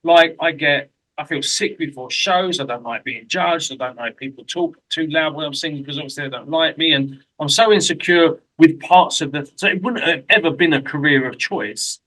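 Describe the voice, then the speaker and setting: A man with a London accent hosting an interview, chatty and self-deprecating with quick asides. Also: on the high side, sharp tone, poor audio. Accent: London English. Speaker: host. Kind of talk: casual interview chat. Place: lives in Mexico.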